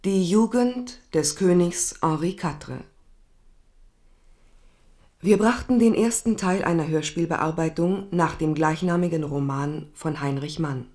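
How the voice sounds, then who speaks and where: A woman with a low voice makes a scripted radio announcement. She speaks slowly and formally, reading out programme credits. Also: dark tone.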